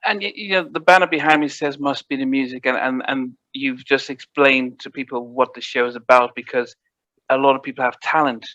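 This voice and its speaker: A man hosts the interview with a bright, tense-edged voice, cheering his guest on with short affirmations. The recording is dry and a little dull and lo-fi, with no room sound. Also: mid-range voice, steady tone, a little fast, no room sound. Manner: encouraging, frequent short affirmations. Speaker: podcast host. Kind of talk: casual interview.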